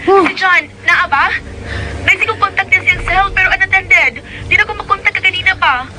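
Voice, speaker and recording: Anxious, very shrill caller. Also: female voice, moderate pace, over a noisy crowd, heavy background noise. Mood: alarmed, urgent. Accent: Cebuano Filipino. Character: anxious, urgent woman caller searching for someone. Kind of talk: radio drama acting.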